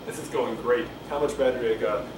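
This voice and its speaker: A man doing a halting, rambling, unrehearsed read-aloud of Shakespeare, stumbling and going back over lines. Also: mid-range voice. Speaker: amateur reader of a play. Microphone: camcorder microphone at a distance. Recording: roomy, distant camcorder mic with clear noise.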